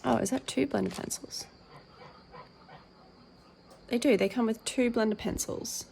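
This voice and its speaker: A woman with a croaky, tired voice hosting her colouring channel, chatting casually with lots of ums as she reads out packaging and unboxes. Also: fairly high pitch, unhurried, darkish tone, slight background noise. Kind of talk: casual tabletop unboxing chat.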